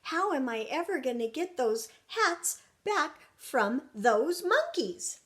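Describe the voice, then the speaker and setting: A woman's voice: sits high, big pitch swings, takes it slowly, fairly bright tone, pitched above the performer's own voice, exaggerated voice. A woman voicing an exasperated, indignant hat-making man in a children's story. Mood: dismayed. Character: exasperated male hat maker, simple and indignant.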